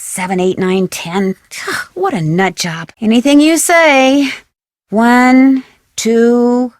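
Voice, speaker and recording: An American woman playing a curious, plucky, polite young detective in an adventure video game, with clear, inquisitive delivery. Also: on the high side, no room sound, clean studio sound. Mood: patient, wry. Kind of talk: scripted adventure game dialogue.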